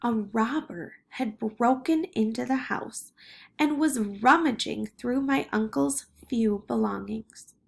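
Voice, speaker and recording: A woman reading a children's picture book aloud in an expressive way. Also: on the high side, slow, vocal fry, slightly rough. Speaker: storybook reader.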